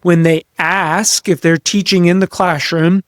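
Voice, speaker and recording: A man hosting an instructional podcast as a coach, speaking conversationally and emphatically with deliberate pauses. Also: sits high, flat delivery, no echo, clean studio sound. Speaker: podcast host and leadership coach. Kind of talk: instructional solo podcast talk.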